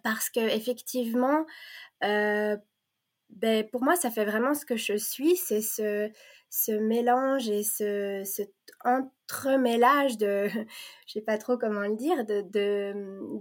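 A woman from Provence who grew up in Belgium speaks as a guest in an easy conversation. She pauses often, fills gaps with small sounds and restarts her sentences. Her tone stays earnest and heartfelt. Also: fairly high pitch. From born in Provence, raised in Belgium. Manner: hesitant, many fillers and restarts, warm and earnest. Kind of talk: casual conversation.

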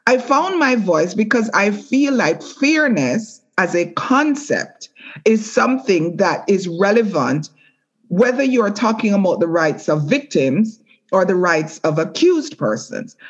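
A middle-aged woman, a former prosecutor and judge, speaking earnestly and in a measured way in an interview. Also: medium pitch, dull audio. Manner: earnest, measured, with repeated restarts. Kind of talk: reflective interview talk.

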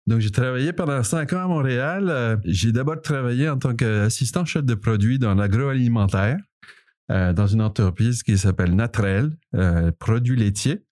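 A man's voice: medium pitch, moderate pace, darkish tone. A man in his forties with a Parisian accent, answering interview questions with many fillers and restarts. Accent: Parisian French. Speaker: podcast co-host, data specialist, interviewed guest.

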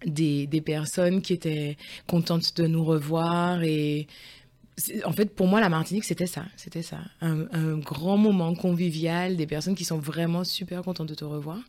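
A woman's voice: sits low, fairly even intonation, moderate pace, a little mellow. A woman in her forties, a guest on a podcast, answering thoughtfully with many hesitations and restarts. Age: 40s. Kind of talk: conversational interview.

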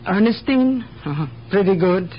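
A woman's voice: mid-range voice, animated, moderate pace, close to an everyday voice. A guarded, plain-spoken immigrant woman as a radio actress plays her, weary of the world and speaking in broken grammar. The old mystery broadcast is dull, with a faint bed of noise. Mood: confiding, resigned. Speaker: actor in a radio drama. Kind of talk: 1970s radio mystery acting. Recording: dull, noisy 1970s radio broadcast recording.

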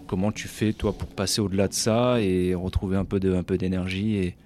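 A very low-voiced man hosts an interview and puts his questions in a relaxed, halting way. He stops and restarts his sentences with small fillers. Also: a little hiss or hum. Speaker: podcast host, interviewer.

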